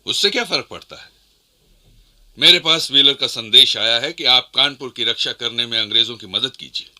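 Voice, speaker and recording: A rebel commander in a historical radio drama. He speaks with deliberate authority in a bright, forceful, medium-pitched voice. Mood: measured, commanding. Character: Nana Sahib, commanding rebel leader and strategist. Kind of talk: Hindi historical radio play acting.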